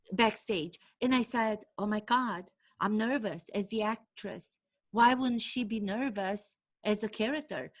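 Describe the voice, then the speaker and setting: A woman from Romania, a native Romanian speaker, tells a personal story straight to camera. Her voice sits at an ordinary middle pitch. She is lively and acts out her own inner thoughts as she goes. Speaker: actor and acting coach.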